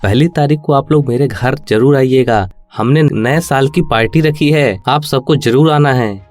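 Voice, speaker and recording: Smug prosperous villager for a cartoon moral tale male reader, everyday pitch, music behind. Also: unhurried, a little mellow, natural voice. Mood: inviting. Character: smug, mocking well-off village man. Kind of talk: children's moral story cartoon acting.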